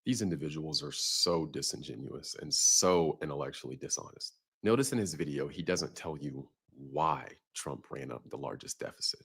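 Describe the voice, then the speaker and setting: A man gives a calm, measured rebuttal in a video clip. His voice is extremely deep and gravelly, with a husky edge. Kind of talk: commentary narration in a video clip.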